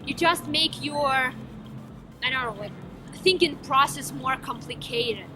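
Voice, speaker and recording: A woman's voice: sits high, measured pace, noisy background. A young woman with a Ukrainian accent and Ukrainian as her first language, talking eagerly and emphatically to camera in a vlog, with some hesitations. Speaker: vlogger. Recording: background music under voice.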